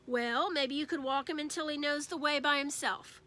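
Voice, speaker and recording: A woman's voice: high-pitched, normal speed, bright, forceful tone. A woman voicing a reasonable, firm mother who persuades her children in a storybook. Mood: persuasive.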